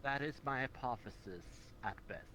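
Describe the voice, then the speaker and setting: A man playing an analytical, cautious examiner of the supernatural in an improvised virtual-reality roleplay, speaking in a deliberate, explanatory way. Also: mid-range voice, lively intonation, sharp tone, slight background noise, rough recording. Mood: measured, speculative.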